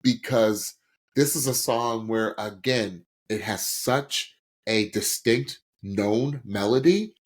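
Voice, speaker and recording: A young man co-hosting a podcast, reviewing singing performances in an animated, chatty way, with many restarts. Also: lowish voice, takes it slowly. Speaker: podcast co-host. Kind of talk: casual music review chat.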